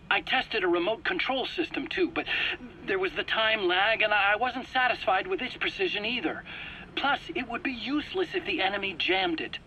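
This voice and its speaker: Bright-voiced, defensive male robotics engineer. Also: high-pitched, muffled recording, a little hiss or hum. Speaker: weapons scientist. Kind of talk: video game cutscene acting.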